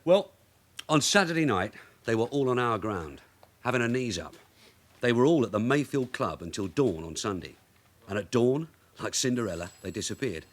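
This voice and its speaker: An actor playing a shrewd veteran detective sergeant, wry and blunt, in a naturalistic radio drama. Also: male voice, mid-range voice, sing-song, moderate pace. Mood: brisk, wry.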